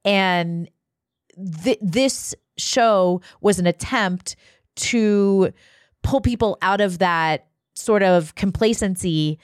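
A woman's voice: mid-range voice, takes it slowly, clear, resonant tone, dry recording, clean studio sound. A woman guest-hosting a podcast in casual, conversational talk with little check-in questions.

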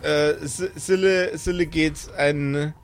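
A man narrating as game master in an improvised tabletop story, slow and playful with suspense. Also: a little high, steady tone, a loud crowd.

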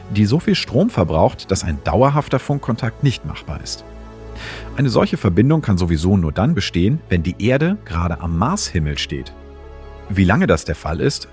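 A man's voice: sits low, darkish tone. A man narrating a scripted science explainer in as the presenter.

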